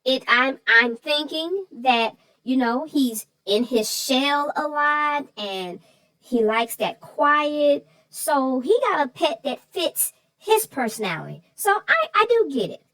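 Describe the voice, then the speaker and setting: A woman with a high voice chats about a children's book as a co-host. Her intonation jumps around playfully, and she leans into her puzzlement at an unhurried pace. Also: sing-song, fairly bright tone, no room sound, rough recording. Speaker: co-host of children's story show. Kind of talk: conversational book discussion.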